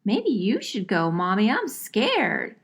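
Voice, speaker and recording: A woman's voice: medium pitch, wildly swooping pitch, slow pace, noisy background, exaggerated voice. A woman voicing a naive, foolish little mermaid girl in a puppet show, with a singsong manner. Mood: scared, whiny.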